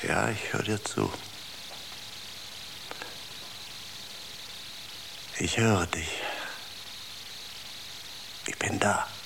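A tormented, exhausted space traveler in a radio drama. He speaks slowly in a low voice, halting and often murmuring close to a whisper. There is clear noise behind him. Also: exaggerated voice. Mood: weary, yielding. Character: tormented, exhausted male space traveler doubting his sanity.

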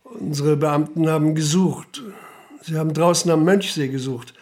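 An actor playing a dutiful, deferential Prussian police officer around 1900 in a radio drama, speaking in a measured, formal way. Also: male voice, fairly high pitch, darkish tone, natural voice. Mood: deferential, factual. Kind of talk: audio drama narration and dialogue.

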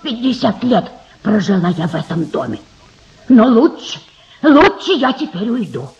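An actress playing a devoted old housemaid in a radio drama, emphatic, indignant and sentimental. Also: female voice, fairly high pitch, sing-song, moderate pace, darkish tone. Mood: tearful indignation. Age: older. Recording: dull, muffled radio drama recording.

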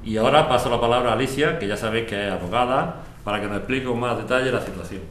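Man acting calm orderly meeting chairman, Castilian Spanish accent, fast-talking, echoey noisy room, audio drama. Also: mid-range voice, close to an everyday voice. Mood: calm, moderating. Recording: echoey room, background noise.

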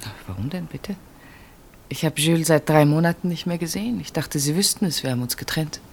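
An actress playing a composed, direct sister-in-law, polite and reserved but pointed, in a German radio play. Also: female voice, sits low, animated, brisk, gravelly, slight background noise. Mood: defensive, pointed.

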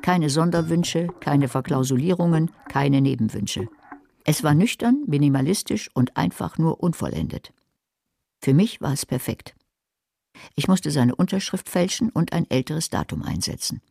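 A woman's voice: low-pitched, expressive, normal speed, gravelly, warm tone, slightly rough, dry recording, full character voice. An actress playing a nervy, sardonic woman who schemes and narrates her own crime in a German radio drama, with dry, wry delivery. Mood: satisfied, dry. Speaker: narrator and lead character.

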